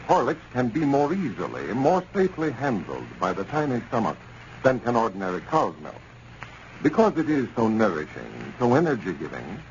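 An American man giving a scripted announcement and sponsor narration as a 1930s radio announcer. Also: medium pitch. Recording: muffled, hissy 1930s radio recording.

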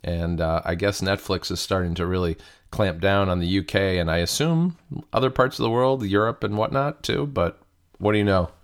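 A man co-hosting a podcast, his voice very low and a bit stuffed up from a cold. He talks in an easygoing, conversational way with a few ums and restarts. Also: moderate pace. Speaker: podcast co-host. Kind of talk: casual podcast chat.

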